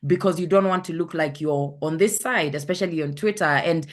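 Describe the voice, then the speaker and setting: A woman's voice: sits low, fairly quick. A woman with a Kenyan accent, a content creator talking casually on an online panel, often searching for the right word. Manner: chatty, searches for words, acts out anecdotes.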